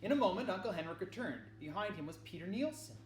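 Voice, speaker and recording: Higher-voiced man narrating in a roomy space. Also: expressive, quick pace, faint music behind. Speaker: read-aloud narrator. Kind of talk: scripted narration of a children's novel. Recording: echoey, roomy sound.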